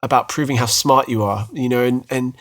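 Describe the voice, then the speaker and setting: A British man in his thirties talks easily with his wife on a podcast, with a middling pitch. He tells his story in a chatty way, often stumbling into small restarts, and jokes at his own expense. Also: steady tone, fairly quick, fairly bright tone. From United Kingdom. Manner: chatty, self-deprecating, frequent restarts. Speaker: podcast co-host. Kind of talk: casual faith podcast chat.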